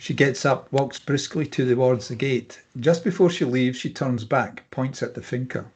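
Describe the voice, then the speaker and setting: A man's voice: medium pitch, normal speed, a little mellow, husky. A man narrating the stage directions at a screenplay table read, in a scripted narration style.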